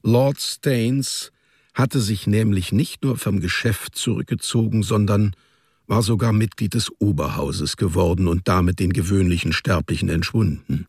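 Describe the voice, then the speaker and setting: Gravelly male radio drama narrator. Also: lowish voice, measured pace, a little mellow, no room sound, clean studio sound.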